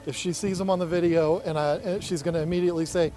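High-pitched male vlogger, casual and playful, working outdoors, music behind. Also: fairly even intonation, fast talker. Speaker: pond rescue vlog co-host. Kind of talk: casual chat while working. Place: outdoors at a garden pond.

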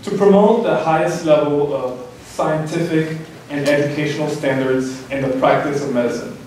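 A young man reads a prepared introduction at a podium, earnest and a little halting. His voice sits slightly high. He is heard from the back of a reverberant hall with faint noise. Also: darkish tone. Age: young adult. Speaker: student introducing the speaker. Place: large lecture hall, indoors. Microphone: podium mic, recorded by a far camera. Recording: echoey hall from far off.

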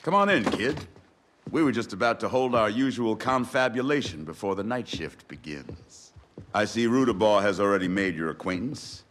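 An actor playing a smooth, sly frontier mayor in a western video game, with an American accent and folksy, flowery phrasing. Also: male voice, lowish voice, expressive, normal speed, a bit bright, slight background noise. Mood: shrewd, persuasive. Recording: music behind the dialogue.

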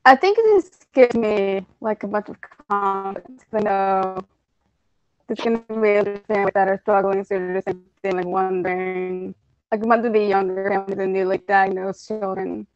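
A woman in her twenties talking haltingly on a video call. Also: mid-range voice, no echo, faint music behind. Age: 20s. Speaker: panelist. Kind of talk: casual video call chat. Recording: dull muffled call audio.

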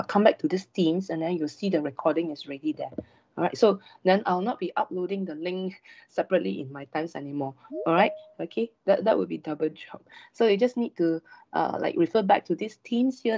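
A woman, a university lecturer giving a chatty online class briefing, her voice slightly hoarse after a sore throat, with frequent fillers and "all right" checks. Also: fairly low pitch, darkish tone. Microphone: video call webcam. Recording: dull lo-fi online class recording.

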